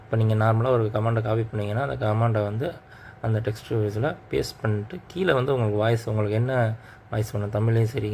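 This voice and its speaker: Male Tamil tutorial host, rather low voice, informal step-by-step delivery, slight background noise. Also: warm tone. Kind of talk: casual screen tutorial narration.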